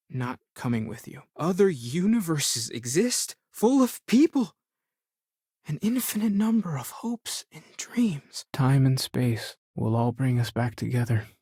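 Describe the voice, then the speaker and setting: An actor playing an earnest, thoughtful young explorer of faith in a video game, speaking sincerely and a little hesitantly. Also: male voice, mid-range voice, dry recording, clean studio sound. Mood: subdued, regretful, then wistful, hopeful. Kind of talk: scripted game dialogue.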